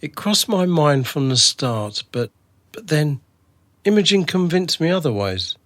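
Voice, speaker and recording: A man's voice: a little high, big pitch swings, unhurried, a bit bright, no room sound. A man playing a wary, reasonable everyman in a radio drama, understated and questioning. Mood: knowing. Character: wary, reasonable ordinary man, a released captive.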